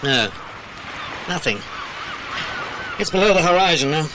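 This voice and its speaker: An actor with a British accent playing a kindly, watchful middle-aged man guarding children in an audio drama, measured and avuncular. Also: a little high, animated. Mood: urgent, focused. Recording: noisy outdoor sound effects behind.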